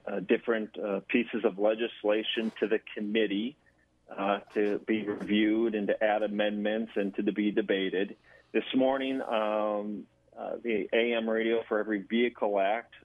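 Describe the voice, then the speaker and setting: Low-voiced man on a thin phone line. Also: no echo. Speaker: interview guest, government affairs director. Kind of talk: radio interview answers.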